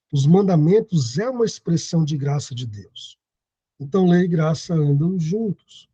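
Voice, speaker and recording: A man in his thirties teaching an online Bible lesson, reading verses aloud and then unpacking them. His voice sits fairly high, with a mellow, husky tone. The audio is dry and dull, like a lo-fi video call. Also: no echo. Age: 30s. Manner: explanatory, reads scripture aloud and comments.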